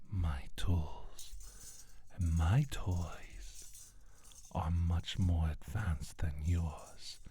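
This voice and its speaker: A man playing a menacing, smug alien scientist in a soft-spoken ASMR roleplay, talking in a deliberate, condescending way. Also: sits low. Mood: smug, threatening.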